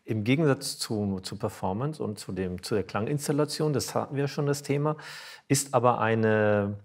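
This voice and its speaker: A man's voice: medium pitch, moderate pace, slightly rough. A man moderating a semi-formal stage interview, feeling his way through his phrasing with hesitation.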